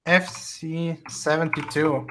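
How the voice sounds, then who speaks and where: Bright-voiced male host. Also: fairly high pitch, fairly even intonation, takes it slowly. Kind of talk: casual online voice chat.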